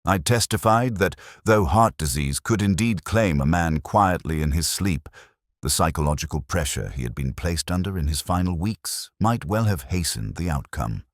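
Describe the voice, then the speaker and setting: Male story narrator, extremely low register, solemn and literary, with swooping intonation. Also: animated, normal speed, dry recording, studio quality. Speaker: audiobook narrator. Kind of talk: scripted narration of a Victorian detective story.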